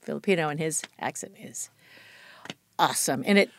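A woman with a slightly low voice and a lot of fry in it, chatting as an interview guest. She is informal and effusive, telling stories in loose sentences that often trail off. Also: moderate pace, slight background noise. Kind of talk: casual chat, anecdotes.

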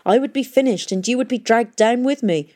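A woman's voice: on the low side, steady tone, moderate pace, mellow. A woman reading the part of a guilt-ridden middle-aged father who confesses to murder, pleading and miserable. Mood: desperate.

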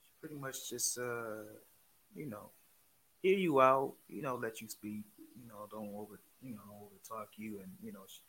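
A soft-spoken man with a smooth voice who rambles casually, filling his talk with many "you know"s. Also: fairly low pitch, normal speed, a little hiss or hum, low-quality recording. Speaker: guest. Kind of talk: casual group discussion.

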